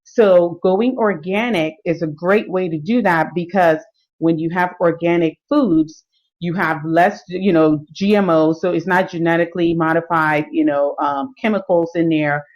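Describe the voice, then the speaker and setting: A middle-aged woman with a slightly low voice presents a health and fitness talk on a live stream. She explains things loosely, pausing often on fillers and restarting her sentences. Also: muffled recording.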